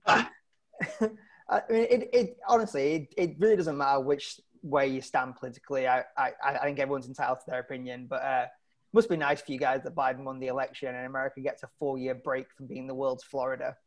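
A British man in his thirties doing a halting, self-deprecating stand-up comedy set over a video call from home, on earphone mic. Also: mid-range voice, fairly even intonation. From Britain. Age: 30s. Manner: halting, self-deprecating, often chuckling at his own lines. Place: indoor room at home. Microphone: wired earphones on a video call.

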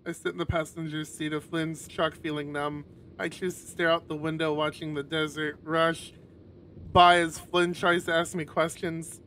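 A man's voice: fairly high pitch, flat delivery, moderate pace, fairly bright tone, a little hiss or hum. A man streaming a visual novel, reading the narration aloud and dropping in casual, sweary asides. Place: home streaming setup, livestream.

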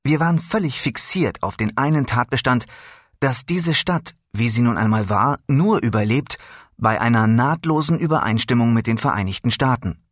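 Medium-pitched man reading, very dry sound. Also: normal speed, no room sound. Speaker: reader of a memoir. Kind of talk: scripted reading of first-person recollection.

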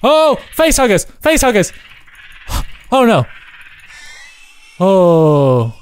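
High-pitched man, slow-paced gamer chat. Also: wildly swooping pitch, clear, resonant tone. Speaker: gamer playing a co-op roleplay map. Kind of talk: casual gameplay commentary.

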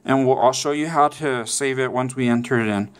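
A man's voice: medium pitch, brisk, clean tone. A man presenting a casual step-by-step how-to, often checking in with okay and all right. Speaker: tutorial presenter.

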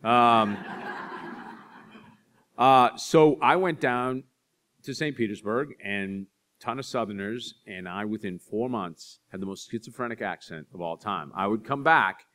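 A man in his forties telling animated, self-deprecating stories to an audience on a library stage. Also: fairly low pitch, slow pace. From Boston area. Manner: animated storyteller, acts out voices in anecdotes, self-deprecating. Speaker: author speaking to an audience. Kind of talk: storytelling talk and audience Q&A. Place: library stage in front of a curtain.

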